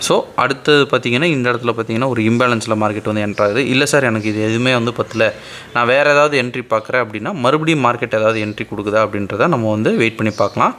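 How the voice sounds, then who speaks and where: A man's voice: medium pitch, very fast, a bit bright, a little hiss or hum. A man with an Indian Tamil accent, explaining step by step as a trading instructor in an informal screen-share tutorial and often checking that listeners follow. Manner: explanatory, often checking understanding with short tag questions.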